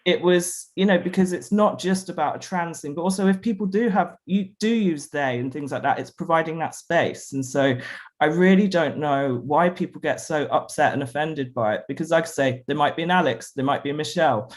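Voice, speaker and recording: Man, panelist, fairly high voice, relaxed and talkative, video call. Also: brisk. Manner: chatty, laughs easily, lots of "you know" and "like". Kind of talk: casual panel discussion.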